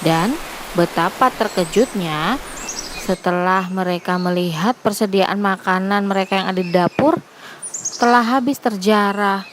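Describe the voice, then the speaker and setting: A woman narrates a scripted children's story at a medium pitch. Behind her is clear background noise with birds and insects. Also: measured pace.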